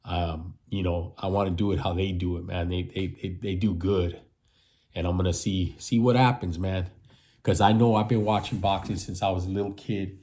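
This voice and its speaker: A man's voice: low voice, steady tone, moderate pace, dark tone. A man in his fifties giving rambling casual commentary, repeating himself and ending lines with "man". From United States. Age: 50s. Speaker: boxing channel host.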